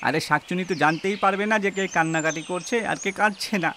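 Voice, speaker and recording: A man's voice: high voice, fast, pushed and bright, a little hiss or hum. An actor playing a brash, complaining young village man in a horror story, exclaiming as he argues. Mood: grumbling. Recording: music behind the voice.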